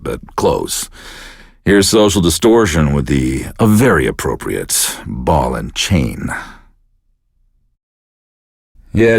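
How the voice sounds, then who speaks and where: Deep-voiced, bitter, deadpan male radio DJ. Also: no echo, studio quality, heavily acted. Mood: dry, self-pitying, then sardonic, resigned. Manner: deadpan, sardonic, world-weary. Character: bitter, self-pitying male radio DJ with a wrecked life. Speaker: radio DJ host. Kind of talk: scripted radio DJ patter for a game.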